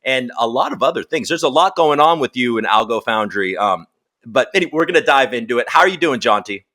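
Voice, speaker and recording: A man's voice: medium pitch, lively intonation, quick pace, sharp tone. A man hosting a podcast, chatting in an upbeat, casual way while interviewing a guest over a video call. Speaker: podcast host. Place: home in Los Angeles.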